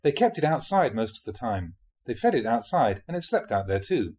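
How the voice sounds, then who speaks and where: A man reading a spiritual talk aloud in a measured, explanatory way, often posing rhetorical questions. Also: mid-range voice, big pitch swings, darkish tone, breathy edge. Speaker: monk reading dharma talks aloud.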